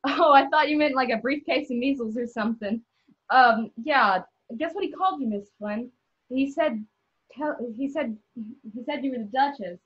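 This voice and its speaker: A woman acts out a gangling fifteen-year-old girl in a stage play reading. The voice is high, naive and gossipy. The sound is a bit dull and lo-fi. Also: normal speed, husky. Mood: naive, chatty. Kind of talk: play table read. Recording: dull lo-fi online call sound.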